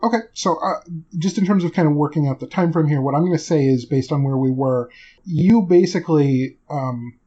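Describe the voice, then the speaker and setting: Male game master, soft-edged husky voice, loose improvised narration, dull lo-fi sound. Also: on the high side, fairly quick. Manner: conversational, hedging with kind of.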